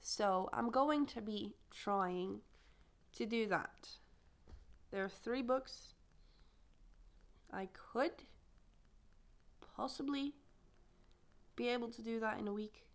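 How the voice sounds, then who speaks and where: A young woman chatting casually about her reading plans, her voice a little croaky from a recent illness, rambling and correcting herself as she goes. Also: a little high, slow pace, pauses often. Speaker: booktuber. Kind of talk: casual chat to camera.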